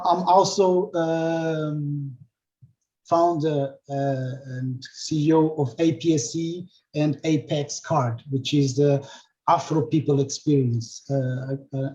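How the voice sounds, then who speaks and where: Hesitant higher-voiced man on a call. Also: warm tone. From Portugal-born, of Cape Verdean parents. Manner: hesitant, many fillers and restarts. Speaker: CEO and founder. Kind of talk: self-introduction on a video call. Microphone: video call. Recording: slightly dull video-call audio.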